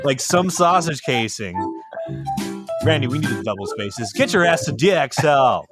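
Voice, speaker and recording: Male podcast host for a rude comic ad read, very animated, loud music underneath. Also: mid-range voice, moderate pace. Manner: mock-insulting, crude. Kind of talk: comedic ad read and banter.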